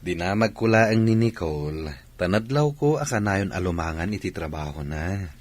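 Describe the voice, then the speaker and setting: An earnest young Filipino village suitor in a radio drama. His lowish, husky voice moves around a lot in pitch, and he stays polite. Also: lively intonation, normal speed.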